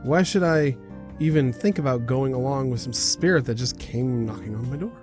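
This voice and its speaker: A man's voice: mid-range voice, moderate pace, over music, natural voice. A man voicing a hesitant, conscientious young video game protagonist, thinking aloud in a reflective, wavering way. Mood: doubtful.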